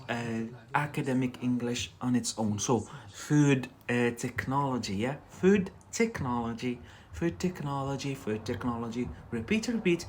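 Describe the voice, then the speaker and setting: A man with a Scottish accent teaching English on a live stream, chatty and loose, tagging sentences with "yeah?" and repeating words for practice. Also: medium pitch.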